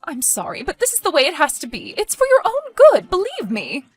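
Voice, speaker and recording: A woman's voice: high-pitched, very animated, quick pace, a bit bright. A woman playing an overbearing middle-aged mother who guilt-trips, in emphatic, exasperated dramatic dialogue. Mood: pained, firm.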